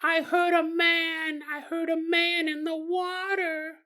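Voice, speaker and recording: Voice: very high voice, little pitch movement, takes it slowly, bright, forceful tone, voice pushed up, heavily acted. A storyteller voicing a terrified three-year-old girl who pleads insistently for help, repeating herself. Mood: panicked screaming.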